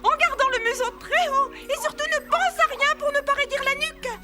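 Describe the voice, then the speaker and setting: A woman's voice: very high voice, brisk. A woman voicing a bossy, grumpy little male animal in a cartoon, brash and squawky. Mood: instructing. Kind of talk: cartoon dub acting. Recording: loud music under the dialogue.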